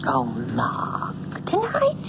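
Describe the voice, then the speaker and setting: Devoted, placating young fiancée, expressive and lilting, vintage radio play, noisy dull sound. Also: female voice, extremely low pitch, very slow, creaky, heavily acted. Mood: coaxing. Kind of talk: 1970s radio drama acting. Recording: muffled, noisy old radio recording.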